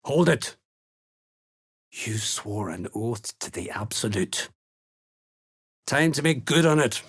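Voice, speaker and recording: Fantasy game villain: gruff cult slaver, husky, unhurried, scornful. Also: male voice, mid-range voice, expressive, fairly bright tone, heavily acted. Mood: menacing. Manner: curt, threatening, contemptuous. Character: gruff, menacing slaver serving a dark cult. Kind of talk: video game character dialogue.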